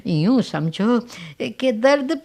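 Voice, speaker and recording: A man's voice: extremely high pitch, lively intonation, drawn-out words, creaky, a little mellow, exaggerated voice. An actor playing a sick, self-centred old father in a radio play, with a quavering voice and a wheedling, complaining manner. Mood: plaintive. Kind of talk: Hindi radio play acting.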